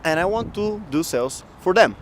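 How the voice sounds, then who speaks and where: A man's voice: high-pitched, brisk, slight background noise. Upbeat man talking outdoors in a city. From Bulgaria. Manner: animated, laughs often, upbeat. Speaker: guest entrepreneur. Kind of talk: casual interview storytelling. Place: outdoor city square in winter. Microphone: handheld mic shared, camera close.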